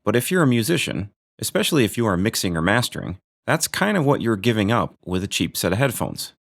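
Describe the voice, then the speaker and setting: A man's voice: lowish voice, very animated, fast, creaky. A man hosting a gear review video, narrating from a script with dry, joking asides.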